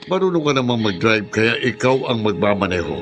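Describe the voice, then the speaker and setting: An actor playing a ruthless armed kidnapper in a radio drama, menacing and taunting. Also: male voice, lowish voice, sing-song, a little fast, music in the background. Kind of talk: 1970s-style radio drama acting.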